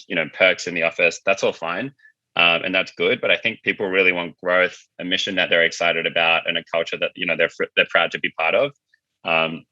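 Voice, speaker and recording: A man's voice: low voice, sharp tone, no echo, lo-fi sound. A man talking casually and informally as a guest in an interview, often saying "like" and "you know". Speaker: company founder being interviewed.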